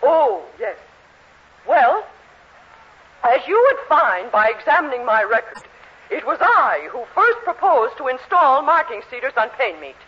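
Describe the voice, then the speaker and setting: Domineering matron, animated old radio voice. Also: female voice, sits high, unhurried. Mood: tipsy, muddled. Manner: imperious, emphatic. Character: stern, domineering middle-aged matron running for election. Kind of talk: 1940s radio sitcom acting. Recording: muffled, noisy 1940s radio recording.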